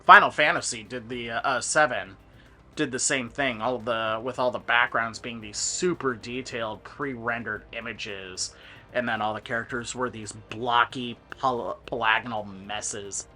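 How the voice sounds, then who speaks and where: Bright-voiced man, halting game commentary. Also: medium pitch, fairly even intonation. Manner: halting, digressive explanations. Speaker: video game streamer. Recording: faint music in the background.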